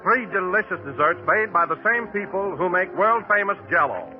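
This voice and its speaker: An old radio recording with a dulled sound presents an American man as a commercial announcer. His high voice moves in lively swings as he sells with upbeat emphasis in 1940s style. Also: moderate pace, lo-fi sound, faint music behind. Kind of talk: 1940s radio advertisement read.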